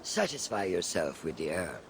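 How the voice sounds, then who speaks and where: An actor playing a shrewd, secretive warrior ally in a video game, speaking formally and deliberately in a gravelly voice. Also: male voice, low voice, big pitch swings, slight background noise. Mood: conspiratorial. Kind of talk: dramatic video game acting.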